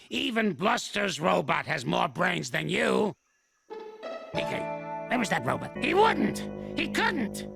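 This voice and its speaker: An actor playing a grumpy elderly male ape inventor with a gravelly, creaky old voice, gruff and bossy. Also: high voice, heavily acted. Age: older. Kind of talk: cartoon voice acting. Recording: music playing underneath.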